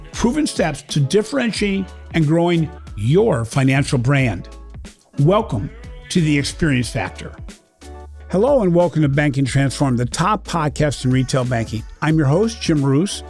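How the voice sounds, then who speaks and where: A man hosting a banking podcast, reading a scripted introduction with emphatic, animated delivery and then asking a guest questions. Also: fairly high pitch, normal speed, soft background music. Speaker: podcast host.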